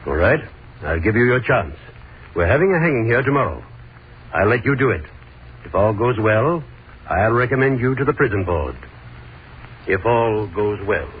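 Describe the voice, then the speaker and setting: An actor playing a gruff, skeptical older nobleman in a 1930s radio play, grunting and terse, heard through a tinny old recording. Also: on the low side, sing-song, moderate pace, long pauses, a little hiss or hum. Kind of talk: 1930s radio drama acting.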